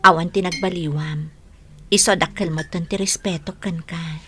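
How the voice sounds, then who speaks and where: A woman's voice: lowish voice, fairly even intonation, normal speed, sharp tone, a little hiss or hum. Modest, earnest young woman. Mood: sincere, shy. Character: modest, sincere hardworking young woman being courted. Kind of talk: Ilocano radio drama acting.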